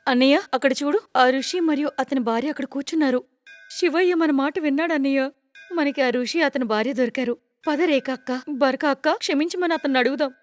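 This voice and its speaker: A woman's voice: sits high, fairly quick, faint music behind, lightly acted. A woman voicing an emotional young unmarried sister in a cartoon moral story, speaking dramatically. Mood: hopeful. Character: young unmarried sisters, haughty, later tearful and devoted.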